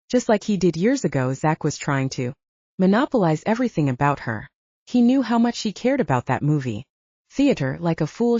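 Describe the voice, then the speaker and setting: A woman's voice: fairly low pitch, warm tone, no room sound. A woman reading scripted fiction as an audiobook narrator, in a slightly dull recording.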